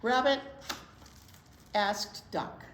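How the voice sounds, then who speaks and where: A high-voiced woman reads a picture book to young children in an echoey room. She is expressive and unhurried, stretching words and adding little exclamations and asides. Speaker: storytime host, teacher. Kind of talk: animated read-aloud for young children. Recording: roomy echo, clear background noise.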